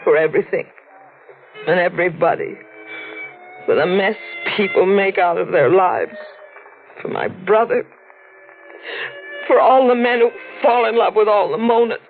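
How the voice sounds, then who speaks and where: An actress playing a sad, tender young brunette who is forever crying, in a 1940s radio drama. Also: female voice, mid-range voice, expressive, measured pace, long pauses, close to an everyday voice. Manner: melancholy, tearful, earnest. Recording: muffled vintage radio, faint music.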